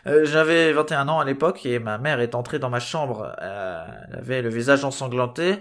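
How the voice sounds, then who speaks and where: A man's voice: mid-range voice, brisk, fairly bright tone, lightly acted. A man playing a hesitant, weary guest trying to tell his story in a sketch comedy interview. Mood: halting, resigned. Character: hesitant, put-upon male guest telling his life story.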